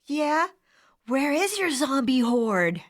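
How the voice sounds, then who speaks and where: Mocking, animated school bully. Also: female voice, high-pitched, slow, heavily acted. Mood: mocking, menacing. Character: sneering, contemptuous school bully. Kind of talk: comedic audio drama acting.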